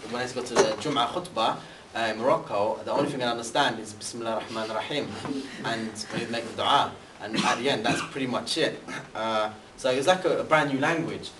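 A young man, a university student, telling about his studies abroad in a rambling way full of fillers and restarts, as he sits in a large room. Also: mid-range voice. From Britain. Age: young adult. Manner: rambling with many fillers and restarts, animated hand gestures. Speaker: guest speaker, university student. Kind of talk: seated talk answering questions. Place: large room with a banner, indoors. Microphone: far camera. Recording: echoey room from far off, faint hiss.

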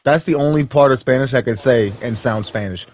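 A man's voice: medium pitch, a little fast, dull audio, a little hiss or hum. A man bantering casually with friends in a foul-mouthed, teasing and playfully bossy way. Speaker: gamer chatting with friends. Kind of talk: casual joking chat.

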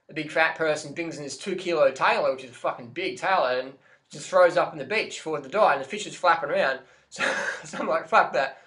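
Brief: Australian vlogger, 30s, animated rant, webcam in a small room. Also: male voice, a little high. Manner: brash, profane, animated storytelling. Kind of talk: ranting monologue to camera.

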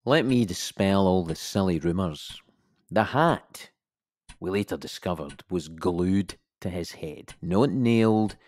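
Low-voiced, dry policeman. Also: darkish tone, no room sound. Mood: dispelling, wry. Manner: measured, official, slips into bluntness. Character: dry, procedural male police officer giving account. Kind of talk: audio drama testimony.

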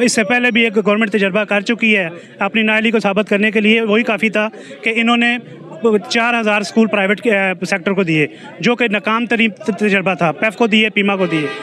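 A man speaking at an outdoor street protest, pausing and restarting his words. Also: high-pitched, steady tone, sharp tone. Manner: halting, with restarts. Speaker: teachers' association president. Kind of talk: protest interview.

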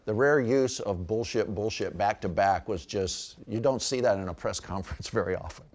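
A man's voice: lowish voice, normal speed, slight background noise. A man giving casual commentary on a past event in an interview. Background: music.

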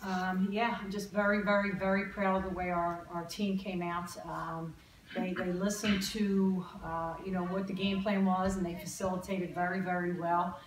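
A middle-aged woman coach answers postgame questions in a low voice, halting and repeating herself as she goes. The sound is roomy and picked up from across the room. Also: level tone, noisy background. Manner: halting, many fillers and repeated words. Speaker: head coach. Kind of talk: postgame press conference. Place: press room in an athletics building. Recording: roomy, distant press-room audio.

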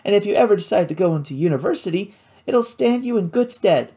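Raised high above the reader's own register, this is an earnest, well-off young woman, bright-eyed and full of opinions, as a storyteller puts her on. The tone stays mellow and a little husky, and the pitch swings freely as she talks. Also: animated, fast talker. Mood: encouraging. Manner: earnest, indignant at unfairness. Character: wealthy, eager, well-meaning young woman.

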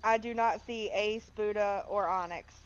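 A woman's voice: mid-range voice, fairly even intonation, unhurried, clean tone. A woman talking casually in a gaming voice chat with other players. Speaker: player in group game. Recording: dull voice chat, faint cricket noise.

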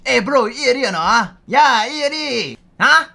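A man's voice: very high-pitched, lively intonation, fast talker, creaky, faint music behind, pitched above the performer's own voice, exaggerated voice. Man doing a brash Singaporean street tough. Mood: taunting. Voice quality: heavy local slang, shouty. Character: brash young male local tough picking a street quarrel.

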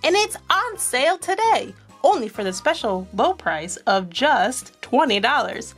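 Woman voicing a pushy rabbit salesman, high-pitched. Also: sing-song, a little slow, a bit bright, slightly rough, soft background music, exaggerated voice. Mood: salesy, eager. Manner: salesy, wheedling. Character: sly, pushy male rabbit salesman, smooth-talking trickster.

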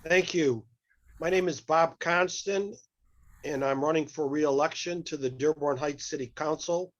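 A man's voice: on the high side, measured pace, lo-fi sound. A man making a polite, formal opening statement as a political candidate. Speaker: city council candidate.

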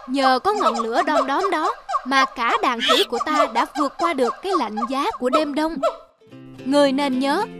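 A wise, proud monkey chief from a children's cartoon. The delivery is measured and commanding, in a fairly high voice over music. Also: female voice.

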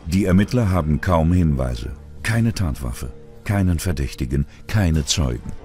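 Male narrator for crime documentary, deep register, scripted delivery over faint music. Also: animated, a little slow, darkish tone.

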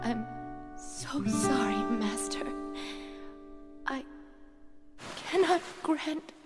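An actress playing a gentle young female genie who is weak and dying, speaking softly in a video game cutscene. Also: high voice, lively intonation, extremely slow, loud music behind the voice, exaggerated voice. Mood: fading. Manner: soft, faint, remorseful.

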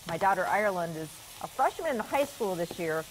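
A woman's voice: on the low side, very animated, fairly quick, slight background noise, low-quality recording. A woman, hosting a morning TV cooking segment in a studio kitchen and chatting cheerfully as she cooks. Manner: chatty, upbeat, talks while cooking.

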